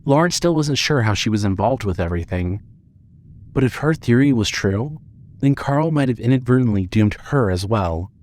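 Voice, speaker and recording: A man's voice: medium pitch, expressive, moderate pace, darkish tone. A man narrating a scripted audio drama and reading its credits as the narrator. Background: music under the voice.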